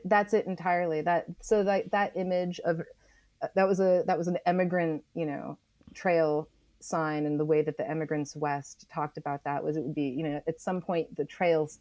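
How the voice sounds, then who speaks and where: Interview guest: American woman, low pitch, emphatic and conversational, thinking aloud, very dry sound. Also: darkish tone, no room sound. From Oregon, United States. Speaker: interview guest, author and geologist. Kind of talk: reflective conversational interview explanation.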